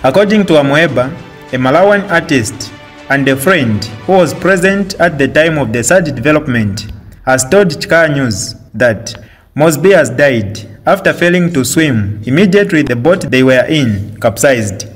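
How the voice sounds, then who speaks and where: A man's voice: fairly high pitch, lively intonation, moderate pace, poor audio. Young Zambian male channel host, upbeat intro and news read, light music bed. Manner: upbeat presenter greeting viewers. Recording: faint music behind.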